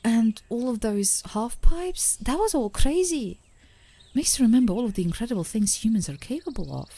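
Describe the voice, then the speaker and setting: A woman playing a tender, playful, adoring girlfriend in an intimate ASMR romantic roleplay, speaking softly and gently, often in a whisper. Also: fairly high pitch, expressive. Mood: upbeat, reassuring. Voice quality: soft, breathy, often whispering. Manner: gentle, affectionate, unhurried, giggly. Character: tender, playful, adoring girlfriend comforting her partner outdoors.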